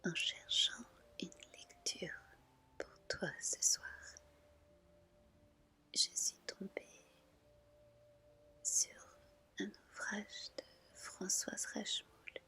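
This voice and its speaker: A woman speaks in a whisper, taking her time over each short phrase. Her delivery is soothing and intimate, as an ASMR host guiding one listener toward rest. The pitch sits in a middle range for a woman. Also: sing-song, extremely slow, pauses often, sharp tone. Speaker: ASMR channel host and reader. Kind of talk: whispered ASMR, guided relaxation.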